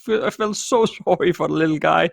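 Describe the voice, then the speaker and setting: A middle-aged man from Denmark co-hosting a podcast, telling stories in a relaxed way with many fillers and restarts. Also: on the high side, fairly quick, creaky. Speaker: podcast co-host. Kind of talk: casual chat.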